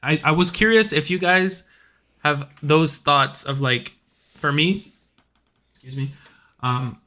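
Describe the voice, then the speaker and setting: A man's voice: on the high side, slow pace, pushed and bright. A man co-hosting a casual podcast chat, laid-back and rambling, with a faint Puerto Rican accent and a habit of swearing. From United States. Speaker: podcast co-host.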